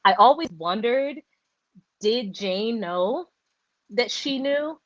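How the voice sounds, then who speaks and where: A woman chatting casually about a book on a live stream, rambling and animated with lots of fillers and restarts. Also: fairly high pitch, slow, no echo. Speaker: book discussion co-host. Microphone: video call. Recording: dull, dry lo-fi sound.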